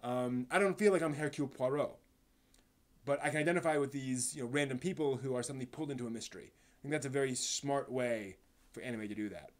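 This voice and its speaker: A man hosting a casual live stream, speaking in a halting, rambling way and stumbling over his words, sounding somewhat tired. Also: mid-range voice. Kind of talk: casual live stream chat, answering chat questions.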